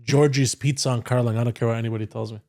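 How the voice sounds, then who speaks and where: A man's voice: mid-range voice, fairly even intonation, racing, darkish tone. A man hosting a podcast in casual chat, telling stories in a brash, profane way. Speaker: podcast host.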